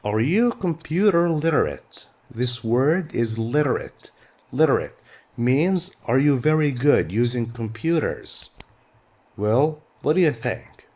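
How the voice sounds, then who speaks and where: A man's voice: medium pitch, very animated, slow pace, a little mellow, a little hiss or hum. A middle-aged man whose first language is Arabic, teaching an English textbook lesson patiently and repeating key words.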